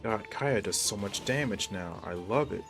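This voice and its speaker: A man's voice: fairly low pitch, animated, moderate pace, gravelly, music in the background. A man livestreaming a game with casual, slangy, laid-back commentary. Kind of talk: casual reactive gameplay commentary.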